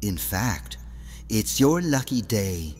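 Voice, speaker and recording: A man's voice: on the low side, very animated, takes it slowly, darkish tone. An actor playing a creepy villainous man in an audio drama, coaxing and condescending. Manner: smooth-talking, condescending, coaxing. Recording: long echoing reverb, background hum.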